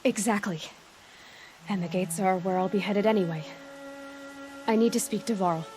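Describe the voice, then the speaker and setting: A woman playing a determined young huntress in a video game, talking in a direct, dry, quick-witted way. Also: medium pitch, a little mellow, soft background music, lightly acted. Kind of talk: video game cutscene acting.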